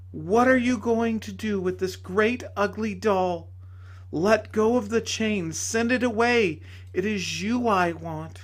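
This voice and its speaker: A man voicing a radiant, joyful woman spirit who speaks with gentle teasing. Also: high-pitched, pitched above the performer's own voice. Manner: bright, warmly teasing.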